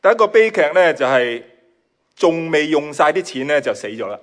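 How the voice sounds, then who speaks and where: Hong Kong-accented male preacher, native Cantonese speaker, modest and giggly, pauses often for his interpreter. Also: on the high side, big pitch swings. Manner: modest, with shy laughs between phrases. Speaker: guest preacher. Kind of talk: sermon delivered in short chunks for an interpreter.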